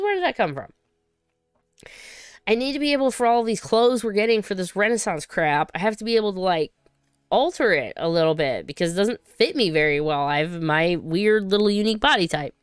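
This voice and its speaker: A woman's voice: medium pitch, clear, resonant tone, no room sound, clean studio sound. A woman hosting a casual livestream, rambling and chatty as she reads viewer comments, her voice still recovering from being sick. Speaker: livestream host.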